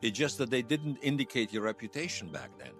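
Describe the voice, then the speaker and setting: A man's voice: mid-range voice, big pitch swings, brisk, a bit bright, faint music behind. An actor playing a wry, folksy Bosnian man who tells his past in an audio drama interview. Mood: wry, explanatory. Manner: wry storytelling with colourful folk sayings. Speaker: storyteller being interviewed.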